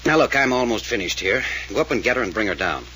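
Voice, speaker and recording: An actor playing a brusque, unflappable investigator, curt, commanding and dry, in a 1940s radio mystery. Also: male voice, mid-range voice, lively intonation, fast, sharp tone. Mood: brisk, commanding. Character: brusque, unflappable male investigator taking charge. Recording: noisy old radio transcription.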